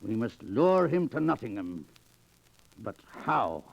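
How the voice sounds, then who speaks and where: An actor playing a pompous, greedy and nervous sheriff in a 1940s radio drama, with blustering archaic speech. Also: male voice, on the low side, very animated, slow pace, vocal fry, full character voice. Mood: indignant, plotting. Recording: muffled vintage 1940s radio recording.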